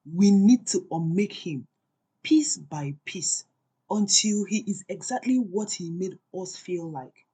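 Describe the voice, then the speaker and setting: A woman's voice: lowish voice, animated, slow pace, mellow, husky, heavily acted. A woman voicing a cool, ruthless, scheming wife in a folktale, firm and calculating. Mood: cold resolve.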